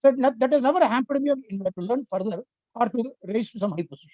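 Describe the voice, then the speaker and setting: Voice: medium pitch, fast talker, a little mellow, dry recording. An older speaker with an Indian accent and a Tamil mother tongue, giving an earnest, explanatory webinar lecture that works through points one by one. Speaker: invited speaker on education policy. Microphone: video call. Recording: dry, slightly muffled webinar audio.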